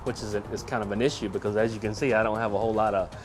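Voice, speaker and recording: A man talking casually in an interview from his home garage, halting with many restarts. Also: fairly low pitch, fairly even intonation, faint music behind. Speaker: interviewee, engineer building a kit plane.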